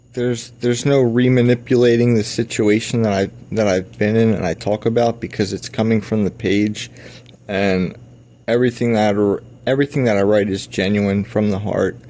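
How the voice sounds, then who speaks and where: Man, low mellow voice, slow and halting, earnest personal diary monologue. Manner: halting, with many restarts and fillers; earnest and confessional. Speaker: personal audio diarist.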